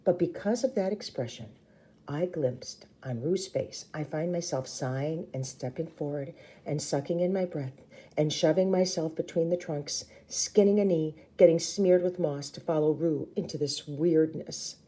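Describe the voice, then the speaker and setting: Female read-aloud storyteller, teacherly and emphatic, very warm dark timbre, on the lower side. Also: normal speed. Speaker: teacher reading a novel aloud to children. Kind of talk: expressive storybook read-aloud with brief explanations.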